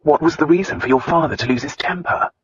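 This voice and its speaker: An actor playing a tender, commanding husband in a romance audiobook, speaking earnestly and insistently. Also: male voice, fairly high pitch, fast, slightly rough, no echo, highs cut off. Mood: gently curious.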